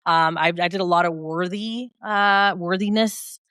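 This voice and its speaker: A woman guest talks through her journey in a relaxed, reflective way. She is upbeat and laughs lightly as she speaks. Her voice is smooth and clear, recorded dry and close. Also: lowish voice, measured pace, dry recording. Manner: reflective and upbeat, laughs often mid-sentence. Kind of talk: conversational interview.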